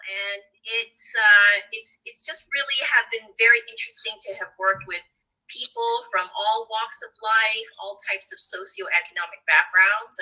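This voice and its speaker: A woman's voice: mid-range voice, bright, forceful tone, rough recording. A woman from the west coast of Canada, a leadership coach answering interview questions in an earnest way with frequent restarts.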